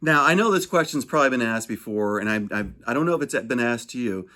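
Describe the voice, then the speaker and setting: A man's voice: mid-range voice, animated, quick pace, bright, forceful tone. A man conducting a casual phone interview, asking informal, rambling questions.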